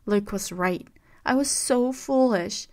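A woman voicing a betrayed young heroine who shouts accusations in a trembling voice. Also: mid-range voice, slow. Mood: furious, betrayed.

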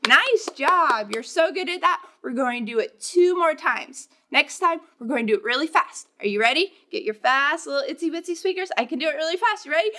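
A young American woman, a children's librarian, leading storytime in an animated, encouraging way, talking directly to small children. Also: sits high, brisk, hardly any pauses. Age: young adult. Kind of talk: children's storytime presentation and read-aloud. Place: indoors in a library, seated before bookshelves.

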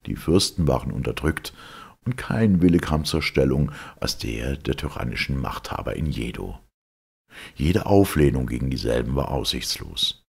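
Very deep, gravelly male storyteller. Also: big pitch swings, moderate pace. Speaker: narrator reading a legend aloud. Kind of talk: scripted storybook narration.

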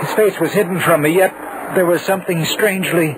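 A man's voice: high-pitched, a little fast, close to an everyday voice. An actor playing a haunted, uneasy man recounting eerie events in 1940s radio horror style, on a noisy vintage recording. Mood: grave, haunted. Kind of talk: 1940s radio horror acting, first-person narration.

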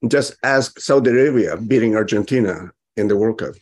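A man of about fifty to sixty with a fairly low voice. He speaks deliberately and conversationally, pausing often, as a panelist on a video call. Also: darkish tone. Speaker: physician panelist.

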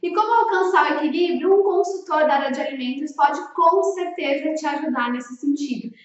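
A woman's voice: high voice, fairly quick. A Brazilian woman in her thirties giving an emphatic, didactic instructional talk to camera as a consultant, often posing rhetorical questions. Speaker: food-service consultant and video host. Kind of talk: instructional vlog addressed to restaurant owners. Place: small indoor room with bookshelf. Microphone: large microphone with pop filter in front of her. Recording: echoey room, distant, slightly dull sound.

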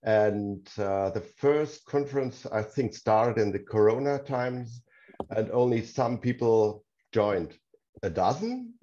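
A man's voice: lowish voice, expressive, slow pace, dark tone, lo-fi sound, a little hiss or hum. A man with a German accent, a non-native English speaker, joining an online meetup discussion as an audience member and searching hesitantly for words. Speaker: audience member asking a question.

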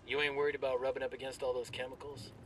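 An actor playing a brisk, blunt special forces squadmate with an American accent in a tactical video game. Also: male voice, medium pitch, little pitch movement, a bit bright, a little hiss or hum, close to an everyday voice, rough recording. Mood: wry.

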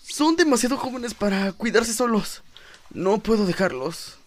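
A woman voicing an anxious animal villager and parent in an animated fan dub, pleading and faltering. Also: on the high side, a little slow.

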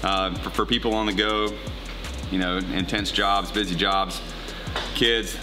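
A relaxed man in his thirties with a fairly low voice talks loosely about his routine, rambling with fillers. Music runs underneath him in the gym. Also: unhurried. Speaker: fitness coach. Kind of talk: casual chat. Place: gym, indoors, at a counter.

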